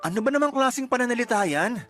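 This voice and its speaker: A man acting a patient, earnest young boyfriend who gently reasons in a radio love-story drama. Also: very high voice, animated, fast talker, full character voice. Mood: placating. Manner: earnest, placating, mildly reproachful.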